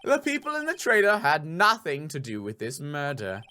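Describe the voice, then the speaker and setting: A man's voice: a little high, huge pitch swings, moderate pace, pushed and bright, clear, resonant tone, heavily acted. A man voicing a haughty, smug prosecutor in a courtroom video game, formal and taunting. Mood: smug, forceful.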